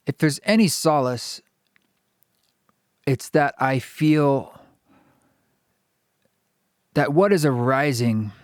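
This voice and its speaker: A man hosting a podcast, speaking thoughtfully with pauses and fillers as he reflects and introduces a guest. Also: medium pitch, lively intonation, dry recording, clean studio sound. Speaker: podcast host. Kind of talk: reflective podcast monologue and interview.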